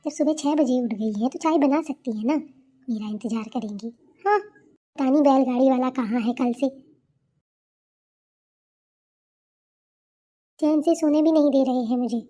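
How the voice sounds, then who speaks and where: Woman playing a high-pitched brash young daughter-in-law. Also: a little fast, warm tone. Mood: irritated, dismissive. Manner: scornful, bossy, complaining. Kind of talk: cartoon character dialogue.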